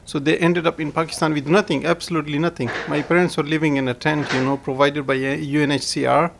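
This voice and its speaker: Man from Afghanistan, interviewee; gravelly, fairly high voice, earnest and measured. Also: little pitch movement, noisy background. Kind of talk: interview answers.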